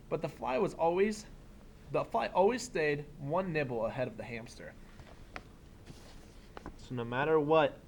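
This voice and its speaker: A man teaching young children, reading a picture book aloud expressively and pausing for simple explanatory asides. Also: on the high side, a little hiss or hum. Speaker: elementary school teacher.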